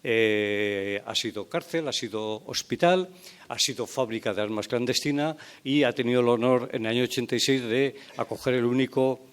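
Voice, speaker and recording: A man's voice: mid-range voice, moderate pace, smooth. Man giving a wry history talk. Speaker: conference speaker.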